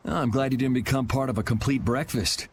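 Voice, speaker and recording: A man's voice: mid-range voice, fairly even intonation, a little fast, a little hiss or hum, lightly acted. A man voicing a cool, wry, laid-back young adventurer in a video game dub. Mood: sardonic.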